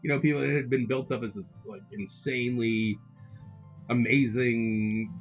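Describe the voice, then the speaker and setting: A man around thirty chatting casually as a streamer, in a halting, rambling, loosely profane way. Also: medium pitch, fairly even intonation. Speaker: game streamer. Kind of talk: casual chat. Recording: dull lo-fi sound, music behind. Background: music.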